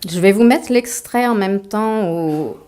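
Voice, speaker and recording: A woman's voice: medium pitch, a little slow, warm tone. A woman author giving a talk to an audience, mixing a prepared lecture with playful, self-mocking asides.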